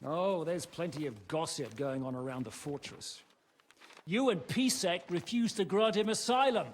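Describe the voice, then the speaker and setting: An actor playing a blunt, bold mercenary captain with a sardonic, challenging manner in a video game drama. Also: male voice, fairly high pitch, animated, normal speed, slight background noise, close to an everyday voice. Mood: scornful, accusing.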